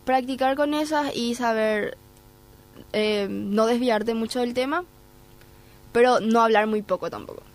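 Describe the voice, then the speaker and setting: A woman's voice: a little high, measured pace, pauses often, low-quality recording. A woman with a Paraguayan Spanish accent, speaking conversationally as a podcast guest giving advice. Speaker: guest, programme coordinator.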